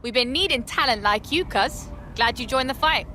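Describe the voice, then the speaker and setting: An actress playing a confident, streetwise resistance hacker in a video game, with a London accent and slangy, casual delivery. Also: female voice, high-pitched, expressive, moderate pace, sharp tone, a little hiss or hum. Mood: reassuring, upbeat. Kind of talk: conversational video game dialogue.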